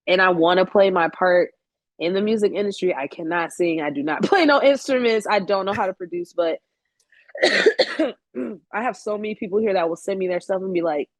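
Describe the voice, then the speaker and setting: A woman in her late twenties talking in a relaxed, conversational interview. Her voice sits a little low, and she speaks bluntly and candidly, swearing freely and letting laughs slip in. The sound is dry and close. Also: dry recording. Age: 20s. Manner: candid, blunt and profane, laughs often mid-sentence. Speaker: podcast guest, photographer. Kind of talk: casual interview chat.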